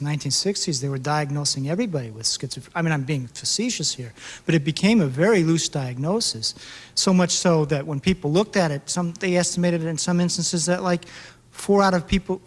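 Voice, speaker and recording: A man answering audience questions at a public talk, thinking aloud with many fillers. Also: fairly high pitch. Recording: reverberant hall.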